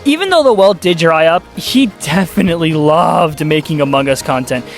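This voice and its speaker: Casting: exasperated, sarcastic YouTube commentator, casual and sweary, very lively intonation. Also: mid-range voice, moderate pace, faint music behind. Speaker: YouTube video essayist. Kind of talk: casual commentary with scripted narration.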